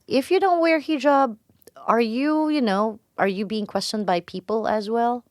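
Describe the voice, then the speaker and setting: A woman's voice: a little high, moderate pace, no echo. A woman hosting a radio interview in a studio, prompting her guest with frequent "mm-hmm"s. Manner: lots of "mm-hmm" backchannels, warm encouraging interjections. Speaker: radio host.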